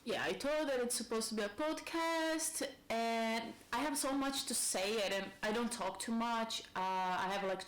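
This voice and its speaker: A woman's voice: mid-range voice, poor audio. Brazilian woman in her thirties, thinking aloud. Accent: Brazilian Portuguese. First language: Portuguese. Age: 30s. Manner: rambling, thinking aloud with restarts. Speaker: YouTuber. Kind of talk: casual personal vlog. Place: at home.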